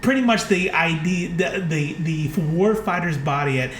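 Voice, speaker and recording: A man's voice: a little high, moderate pace, slight background noise. A man in his late thirties co-hosting a podcast, talking casually in a rambling, enthusiastic way. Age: 30s. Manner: enthusiastic, rambling, many fillers. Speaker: podcast co-host. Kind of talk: casual chat. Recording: echoey room.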